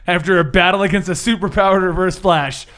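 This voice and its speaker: Higher-voiced man running a tabletop game. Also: a bit bright. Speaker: game master and host. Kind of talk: hosting a tabletop superhero game, explaining rules and narrating.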